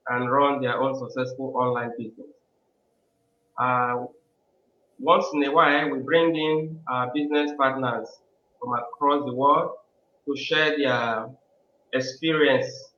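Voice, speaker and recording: Male live-stream host, crisp voice, muffled recording, welcoming, lots of fillers. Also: mid-range voice, sharp tone, reverberant. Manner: welcoming, uses frequent fillers.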